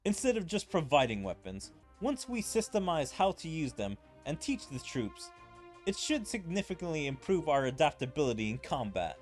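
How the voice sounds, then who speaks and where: A man's voice: on the high side, very animated, moderate pace, soft background music. A man streaming a game, reading its dialogue aloud in a theatrical way and adding his own commentary. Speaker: gaming streamer.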